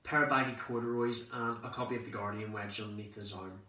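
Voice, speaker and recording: A man performing a theatrical monologue as a cheeky, excitable young man telling of his summer abroad, in a dramatic conversational way. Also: fairly low pitch. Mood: wry, nervous. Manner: dramatic, conversational storytelling with swearing and pauses. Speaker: amateur actor performing a monologue. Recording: roomy echo, distant microphone.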